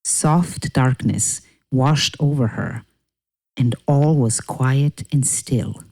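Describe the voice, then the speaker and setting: Slow, measured woman storyteller. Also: a bass voice, gravelly, warm tone. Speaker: narrator. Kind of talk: scripted fairy-tale narration.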